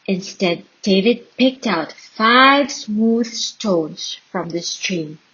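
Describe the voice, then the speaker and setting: A woman reading a children's Bible story aloud in an expressive, dramatic and deliberate storytelling style. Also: mid-range voice, breathy edge, muffled recording. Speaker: storybook reader.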